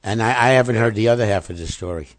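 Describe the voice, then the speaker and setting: A man hosting a casual talk show, his voice raspy from laryngitis, prompting his co-host in an easygoing way. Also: on the low side, fast talker, no echo.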